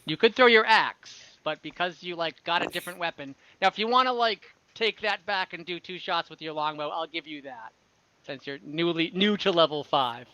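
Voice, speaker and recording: A man's voice: fairly high pitch, moderate pace, bright, forceful tone, clear, resonant tone, dry recording, low-quality recording. A man running an online tabletop game as game master, informal and encouraging as he explains things.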